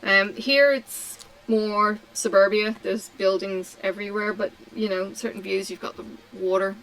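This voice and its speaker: A middle-aged woman from Tasmania talks in a relaxed, unhurried way while she works on a craft. Her pitch sits in the middle, and she fills her answers with pauses and ums. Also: poor audio. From Australia (Tasmania). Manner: slow, hesitant, many ums and pauses. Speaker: craft vlogger.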